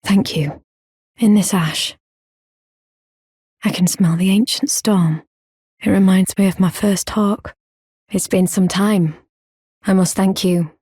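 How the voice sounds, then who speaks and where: A proud, earnest fantasy game warrior, with a lowish, dark and mellow voice. She speaks solemnly in formal, archaic phrasing, measured and stoic. The sound is dry and close. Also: fairly even intonation, breathy edge, dry recording. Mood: grateful, calm. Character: proud, earnest woman warrior, grown, stoic and honour-bound. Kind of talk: video game character acting, formal archaic speech.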